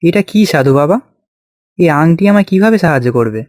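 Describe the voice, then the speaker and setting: A man's voice: on the high side, lively intonation, normal speed, a little mellow, no room sound, studio quality, close to an everyday voice. A man playing a beleaguered farmer in a fairy tale cartoon, with an earnest, exclamatory way of talking. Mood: pleading. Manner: exclamatory, plaintive. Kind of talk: children's cartoon voice acting.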